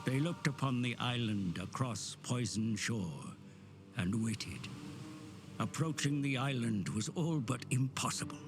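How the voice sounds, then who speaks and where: A male actor playing a grave, ominous storyteller, narrating an epic fantasy game cutscene in a measured, dramatic way. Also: mid-range voice, expressive. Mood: dramatic, foreboding. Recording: loud cinematic music behind the voice. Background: music.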